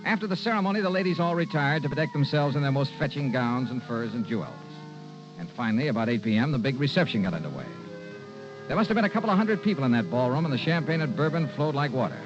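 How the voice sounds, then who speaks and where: A man's voice: mid-range voice, expressive, quick pace, music in the background, lightly acted. An actor playing a wry, hard-boiled insurance investigator narrating his case in an old radio noir drama. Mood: wry, watchful. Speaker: lead detective and narrator. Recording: dull vintage radio recording.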